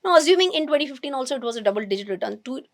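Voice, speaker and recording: A woman with an Indian English accent teaches investing with animated emphasis, often restarting mid-sentence as she thinks aloud. Her voice is hoarse and strained. It sits fairly high. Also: rapid-fire. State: hoarse, nearly lost voice. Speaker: finance educator. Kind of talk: explaining investing to camera.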